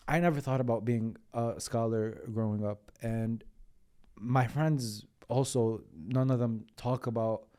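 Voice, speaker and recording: A man hosting a podcast, asking curious, halting questions in a casual interview. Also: mid-range voice, mellow, clean tone. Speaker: podcast host. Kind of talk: conversational interview.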